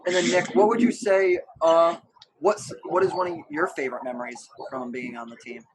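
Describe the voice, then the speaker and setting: Higher-voiced young-sounding male podcast host. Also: normal speed, heavy background noise. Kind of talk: casual sports interview.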